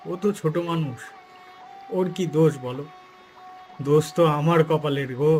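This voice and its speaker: A man's voice: a little high, close to an everyday voice. A man voicing a humble, sorrowful but hopeful village father in a cartoon, speaking earnestly. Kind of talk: cartoon voice acting.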